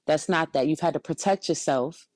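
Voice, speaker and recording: A woman's voice: sits low, normal speed, a little mellow, no room sound. A young woman from Camden, New Jersey hosting a seated interview, reflecting back and affirming her guest's story in a small indoor studio. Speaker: interview host.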